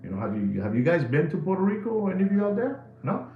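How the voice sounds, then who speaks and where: A man's voice: high-pitched, quick pace, roomy sound, narrow, tinny audio, a little hiss or hum. A man, hosting a live watch podcast in a rambling, jokey way full of slang. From New York City. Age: 60s. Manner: animated, jokey storytelling with slang.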